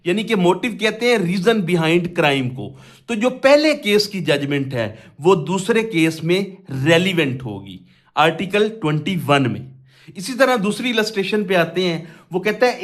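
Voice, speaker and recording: A Pakistani man giving an explanatory law lecture in a didactic way, posing questions and then answering them himself. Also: high voice. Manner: reads quoted provisions slowly in segments. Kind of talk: explanatory lecture mixing Urdu with English legal terms.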